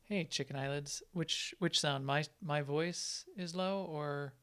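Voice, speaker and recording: A man's voice: fairly high pitch, takes it slowly, pushed and bright, clear, resonant tone. A man live-streaming an online game, chatting casually and answering viewers' questions as he plays.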